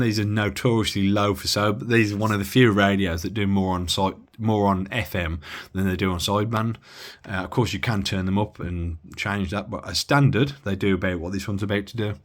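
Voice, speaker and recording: A man from the Midlands demoing a radio at his bench in a casual, halting way with lots of fillers. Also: on the low side. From Midlands of England. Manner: halting, many fillers and restarts. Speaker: radio hobby vlogger. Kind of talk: casual hands-on demo.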